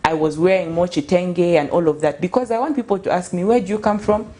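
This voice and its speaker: A woman's voice: fairly low pitch, fast talker, warm tone. A young Zambian woman, an author guest, talking earnestly and passionately in a casual interview, with frequent restarts. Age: young adult.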